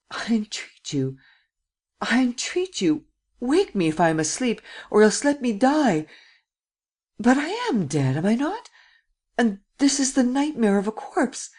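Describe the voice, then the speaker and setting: A man's voice: on the high side, warm tone. A man voicing a terrified, despairing young poet in an audiobook, stammering and pleading in anguish. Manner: anguished, stammering, gasping pleas. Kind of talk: dramatic audiobook character acting.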